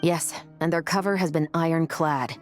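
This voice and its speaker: A woman's voice: low-pitched, fairly even intonation, normal speed, soft background music, lightly acted. An actress playing a stern, dutiful female officer in a video game, serious, blunt and businesslike. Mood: grave. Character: stern, dutiful woman law-enforcement officer. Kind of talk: video game character acting.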